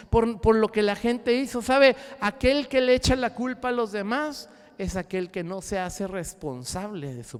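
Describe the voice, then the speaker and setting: A pastor preaches in a church hall, his high, smooth, ringing voice trailing off into a long reverb. He rises to loud, climbing cries, then drops to hushed, low asides. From Mexico. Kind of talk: sermon. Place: church sanctuary.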